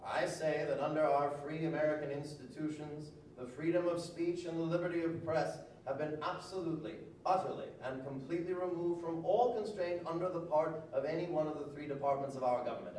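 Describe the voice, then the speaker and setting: A man's voice: a little high, a little mellow, a little hiss or hum, close to an everyday voice. A middle-aged man playing an indignant, grandiloquent 19th-century orator in a declamatory, pompous dramatic reading. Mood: defiant, righteous. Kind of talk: dramatic reading of historical speeches. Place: large bare-walled room, on stage indoors. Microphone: stand microphone, recorded by far camera. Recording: echoey room heard from far off.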